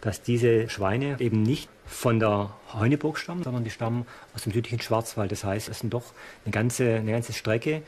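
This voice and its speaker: Guy expert showing artefacts, mid pitch, slight background noise. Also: fairly even intonation, moderate pace, few pauses, darkish tone. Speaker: archaeology expert. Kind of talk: presenting finds in an interview.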